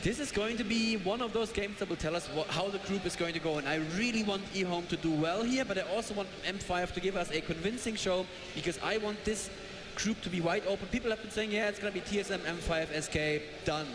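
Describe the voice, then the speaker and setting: A man casting an esports match live, chatty and jokey, excitable. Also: high-pitched, fast talker. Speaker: esports caster. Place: large event hall with live audience. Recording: echoey hall, noisy crowd.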